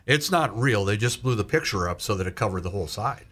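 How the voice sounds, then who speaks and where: A man's voice: on the low side, expressive, fast, fairly bright tone. A man playing a patient, practical grandfather in a radio play. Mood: friendly, practical.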